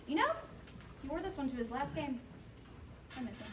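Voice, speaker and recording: A woman's voice: high voice, animated, normal speed, far from the mic. A woman playing a brash, sentimental widowed mother in a comedy table read, speaking in an emphatic, earthy way. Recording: echoey noisy room, audience behind.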